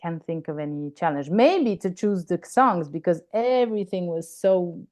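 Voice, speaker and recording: An Italian-accented woman with a fairly high but soft, mellow tone talks through her ideas in a relaxed interview. She often pauses to hunt for the right English word, restarts phrases, and checks in with a questioning "no?" at the end of her sentences. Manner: searching for words, tags sentences with "no?". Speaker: singer and guest. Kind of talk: conversational interview answers.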